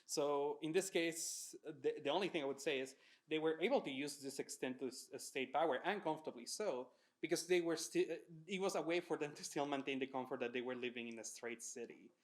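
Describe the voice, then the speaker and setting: A man giving analytical comments in a discussion, with academic wording and halting self-corrections. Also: on the high side. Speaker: panelist.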